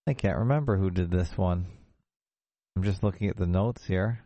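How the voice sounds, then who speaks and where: A low, gravelly male host talks in a rambling, unhurried way as he sets up a clip. His voice is dry and close, with faint music behind him. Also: no echo, dull audio. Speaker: show host. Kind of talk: casual commentary introducing a clip.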